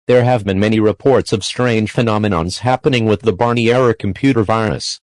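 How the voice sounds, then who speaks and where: A man reading a scripted emergency alert in a flat, formal way. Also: lowish voice, fairly quick, dry recording.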